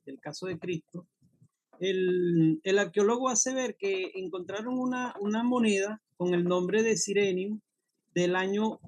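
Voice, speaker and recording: A man's voice: high-pitched, rough recording. A man from Venezuela taking part in an online study group, speaking hesitantly with many fillers. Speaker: workshop participant.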